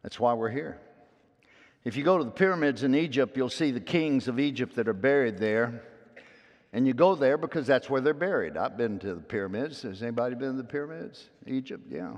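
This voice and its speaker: A man's voice: mid-range voice, expressive, fairly quick. Man preaching in a reverberant sanctuary. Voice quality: loud voice. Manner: folksy storytelling, swinging between hushed asides and loud emphatic peaks. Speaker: pastor. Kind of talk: sermon. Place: church sanctuary. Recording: long hall reverb.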